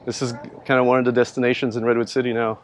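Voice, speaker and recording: A man in his thirties with a French accent talks easily as he rides along city streets. He circles back over his words and repeats himself, relaxed and unhurried. Faint traffic murmurs behind him. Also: medium pitch. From France. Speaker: startup founder. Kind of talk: casual conversation while riding.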